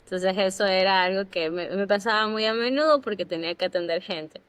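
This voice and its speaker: A woman's voice: mid-range voice, brisk, clean tone. A woman from Ecuador co-hosting a podcast, telling personal anecdotes in a casual way. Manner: animated anecdotes with fillers. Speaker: podcast co-host. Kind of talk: casual storytelling.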